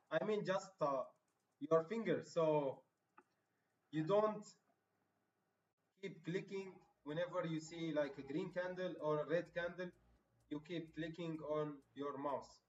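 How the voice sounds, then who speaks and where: A man from Egypt commenting casually on a live stream, talking to viewers as bro and lecturing them on discipline. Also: on the high side. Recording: roomy, distant and dull with faint music.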